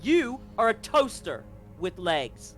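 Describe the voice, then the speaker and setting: Man playing a bitter, high-strung betrayed Marine. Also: very animated, slow pace, fairly bright tone, faint music behind, full character voice. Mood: furious, accusing. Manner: profane, confrontational, pleading with rhetorical questions. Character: bitter betrayed male Marine, angry and accusing.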